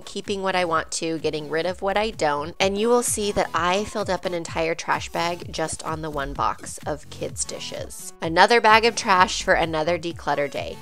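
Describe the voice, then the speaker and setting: A woman's voice: lowish voice, a bit bright. A woman in her thirties, a bit congested, chatting candidly through a home declutter vlog voice-over. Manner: chatty and candid, friendly asides to viewers. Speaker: home vlogger.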